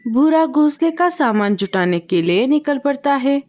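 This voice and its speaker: Woman narrator, high voice, scripted storybook narration for kids. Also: lively intonation, a little slow, a little mellow.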